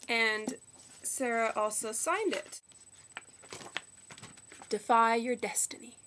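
Young woman a bit croaky from a cold, higher voice, chatty book talk on her webcam. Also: a bit bright, a little hiss or hum. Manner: chatty, upbeat, quick asides. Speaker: book vlogger. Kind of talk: casual book haul chat. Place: bedroom at home. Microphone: webcam at desk.

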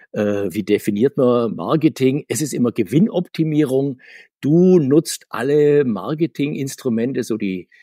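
Middle-aged male guest, husky voice, dry close sound, reflective storyteller. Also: medium pitch, mellow, no room sound. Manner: rambling, self-correcting, reflective. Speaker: guest, former comics publishing manager. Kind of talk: casual interview.

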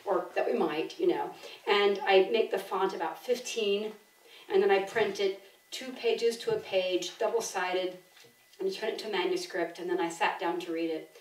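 An older woman hosting an event from a stage lectern, introducing informally in a rambling, enthusiastic way. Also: medium pitch. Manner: rambling, enthusiastic, animated gestures. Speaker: event host and publisher. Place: on a theatre stage. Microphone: gooseneck lectern microphone. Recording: roomy theatre, distant from mic.

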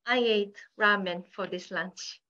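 A woman's voice: mid-range voice, a little slow, bright, forceful tone. A young woman giving an informal online presentation as a university representative, heard over a video call. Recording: dull lo-fi video call audio.

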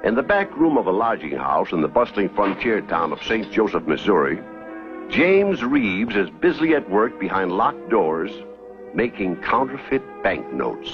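A man's voice: lowish voice, very animated, moderate pace. Folksy older male TV narrator. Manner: folksy, storytelling. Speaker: host and narrator. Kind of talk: scripted TV narration. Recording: dull old TV soundtrack under theme music.

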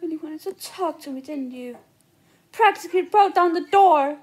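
A woman's voice: extremely high pitch, darkish tone. A young woman playing a dramatic, self-pitying, petulant teenage girl in a theatrical amateur film-scene reenactment. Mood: indignant, dramatic. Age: young adult. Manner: theatrical, declamatory, drawn-out. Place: indoor room at home. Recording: noisy handheld phone recording.

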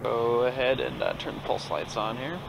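Casting: male American pilot, mid-pitched, casual flight vlogger with clipped radio calls, clear engine noise behind. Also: normal speed. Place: cockpit of a small turboprop plane in flight. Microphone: aviation headset. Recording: steady aircraft drone behind headset audio.

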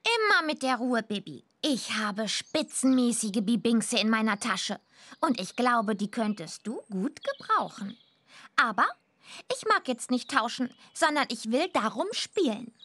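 A woman's voice: on the high side, big pitch swings, a little slow, bright, forceful tone, full character voice. A woman playing a cocky, teasing punk teenage witch girl in a children's computer game, with a boastful, slangy way of talking. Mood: sly, teasing. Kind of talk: animated children's game voice acting.

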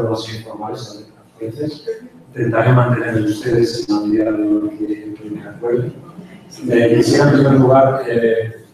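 A man in his sixties with an accent from Spain, giving a conference lecture in a measured way with hesitant pauses. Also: mid-range voice. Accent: Spanish from Spain. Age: 60s. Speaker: guest lecturer, chemist. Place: university auditorium, indoors. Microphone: handheld mic, picked up by distant camera. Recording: echoey hall from far off, faint noise.

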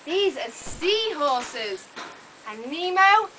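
A woman's voice: very high voice, sing-song, drawn-out words, a bit bright. A woman narrating a puppet show to young children with exaggerated, simple, questioning phrases. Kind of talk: animated storytelling to young children. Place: open-sided thatched hall, on a small stage. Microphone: camera some metres away. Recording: noisy open-hall recording, camera at a distance.

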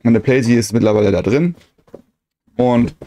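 Mellow-voiced man narrating a collector vlog. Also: medium pitch, moderate pace, a little hiss or hum. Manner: chatty, hesitant, full of "äh" and "ähm" fillers. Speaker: retro game collector vlogger.

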